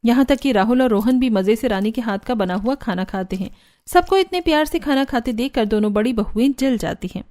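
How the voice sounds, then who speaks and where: A woman's voice: fairly high pitch, expressive, a little fast, a little mellow. A woman giving scripted narration for a cartoon family story.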